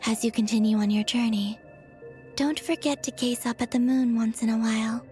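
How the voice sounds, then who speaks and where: A woman performs a wistful moon goddess for a game cutscene. Her voice sits fairly high, soft and breathy, with measured, sincere phrasing. She sounds grateful and devoted, with music playing softly behind her. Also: steady tone, lightly acted. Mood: pensive.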